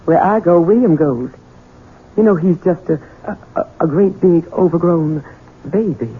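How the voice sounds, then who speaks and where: A woman playing a deferential, anxious middle-aged housekeeper and protective mother in a radio drama, husky. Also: on the low side, a little slow. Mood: anxious, pleading. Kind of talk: 1970s radio drama acting. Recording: tinny, narrow-band old radio recording.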